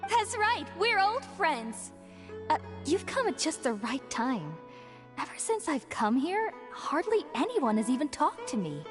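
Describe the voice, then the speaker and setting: High-pitched, effusive young scholar. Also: female voice, very animated, a little slow, music in the background. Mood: delighted. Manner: effusive, gushing. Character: young female scholar, effusive, devout, friendly. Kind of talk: video game character acting.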